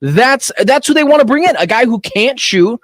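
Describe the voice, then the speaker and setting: A man co-hosting a sports betting radio show, animated and opinionated with quick joking asides, speaking into a studio microphone. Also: very high-pitched, dry recording.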